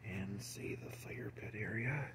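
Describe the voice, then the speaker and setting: A man giving a soft, halting whispered ASMR tour of a backyard pool area outdoors at dusk, recorded on a handheld camera. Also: lowish voice, heavy background noise. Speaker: ASMR creator. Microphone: handheld camera, filming his own hands.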